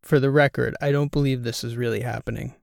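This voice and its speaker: A man voicing an excitable, incredulous male podcast co-host in an audiobook, animated and rambling. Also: medium pitch, quick pace, vocal fry, no room sound, clean studio sound, close to an everyday voice. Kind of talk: audiobook character dialogue.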